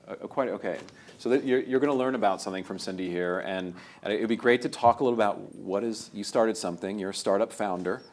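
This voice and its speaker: A man hosting a live interview casually, with halting, rambling phrasing, speaking into an event microphone. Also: fairly low pitch. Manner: halting, rambling phrasing, self-described loud voice. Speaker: host, interviewer. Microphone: event microphone through speakers.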